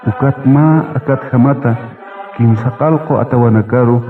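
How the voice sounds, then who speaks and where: A man's voice: medium pitch, moderate pace. A man narrating a radio drama from a script. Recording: thin phone-like sound, music behind.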